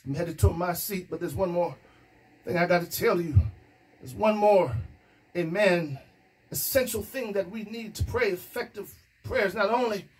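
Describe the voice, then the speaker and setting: A man's voice: high-pitched, normal speed, husky, poor audio. A middle-aged male pastor preaching a sermon into a stand microphone in a small room, building emphasis and dropping in "amen" as he goes. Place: small indoor room against a plain wall. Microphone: stand microphone in front of mouth.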